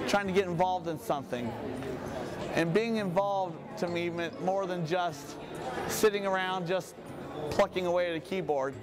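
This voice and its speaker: High-voiced young man, halting, crowd behind. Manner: halting, earnest, pausing mid-sentence. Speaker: exhibiting artist. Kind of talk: personal interview. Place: busy indoor exhibition hall.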